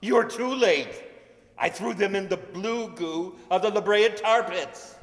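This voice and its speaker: A man performs an officious, stubborn bus driver from a radio comedy. The voice sits high for a man. It echoes in a hall. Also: unhurried. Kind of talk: old-time radio comedy acting on stage. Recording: echoey hall stage recording.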